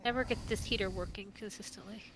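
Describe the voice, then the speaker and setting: A woman's voice: mid-range voice, steady tone, fast talker, a bit bright, a little hiss or hum. A woman with a stuffed-up nose, chatting playfully while gaming at home. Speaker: co-player. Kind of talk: casual gameplay chat.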